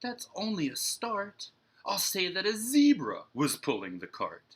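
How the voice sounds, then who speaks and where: Imaginative, eager boy narrator for a picture book, acted out by a man. Lively swings, husky tone, dramatic rhyme. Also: sits high, a little slow, voice pushed up, heavily acted. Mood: scheming, excited. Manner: dramatic, emphatic, sing-song rhyme. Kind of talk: animated children's storybook read-aloud.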